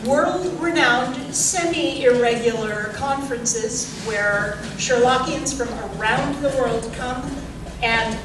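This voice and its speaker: A woman giving a live welcome speech to an audience as director of a theatre company. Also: a little high. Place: large echoey indoor venue with an audience. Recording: noisy, very echoey hall from far off.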